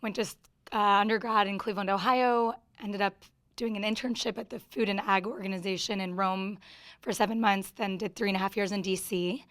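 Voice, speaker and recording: A woman in her twenties from the southern United States, introducing herself briskly and informally as a student panelist. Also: medium pitch, fairly even intonation, a bit bright, dry recording, studio quality. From Kentucky, United States. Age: 20s.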